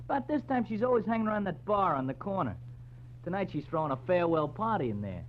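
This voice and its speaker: High, swooping, cheeky newsboy acted by a man. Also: very animated, fast talker. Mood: chirpy, cheeky. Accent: American. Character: chirpy, cheeky street newsboy. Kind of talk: 1940s radio acting. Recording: dull, noisy vintage radio, hum.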